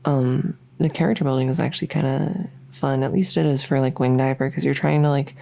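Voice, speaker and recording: A woman's voice: extremely low pitch, steady tone, brisk, gravelly, warm tone. A woman voicing a lonely, socially awkward vampire who rambles enthusiastically in an ASMR audio roleplay. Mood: nerdy enthusiasm. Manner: rambling, enthusiastic info-dumping, many fillers. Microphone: close ASMR microphone.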